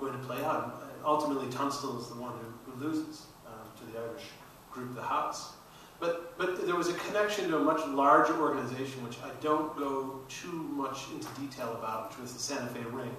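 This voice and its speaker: Echoey, bright-voiced middle-aged man. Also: medium pitch, a little hiss or hum. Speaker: documentary filmmaker on a panel. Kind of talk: explanatory panel talk. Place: large auditorium stage. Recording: very echoey hall from far off.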